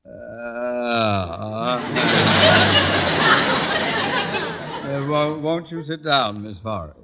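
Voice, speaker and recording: A man's voice: medium pitch, huge pitch swings, drawn-out words, muffled recording, over a noisy crowd, heavy background noise, full character voice. A man playing a pompous, blustering middle-aged school principal who orates, in 1940s radio comedy style on an old recording. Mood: flustered, fawning. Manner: pompous, oratorical.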